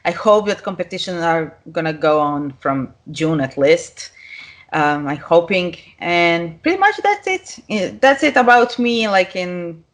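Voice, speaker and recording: A woman's voice: on the low side, animated, measured pace. A woman from Serbia with a Serbian accent, a podcast guest speaking English as a second language with some non-native grammar. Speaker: guest, pro figure competitor. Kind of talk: casual interview talk.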